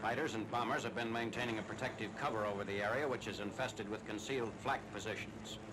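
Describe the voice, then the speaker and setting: A man's voice: on the low side, fairly even intonation, fast. A man narrating a 1940s military newsreel from a script in a formal, clipped, factual manner. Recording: noisy 1940s newsreel soundtrack, engine drone.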